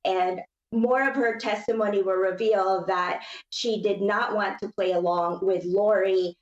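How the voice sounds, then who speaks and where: A woman giving measured, explanatory commentary on a case as a legal analyst on a panel. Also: mid-range voice, fairly even intonation, reverberant, lo-fi sound.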